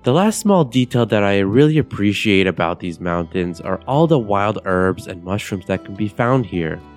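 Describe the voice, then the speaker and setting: Calm low-voiced man guiding meditation. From Bulgaria. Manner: calm, measured and soothing. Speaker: meditation podcast host. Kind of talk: guided meditation narration. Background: soft guitar music.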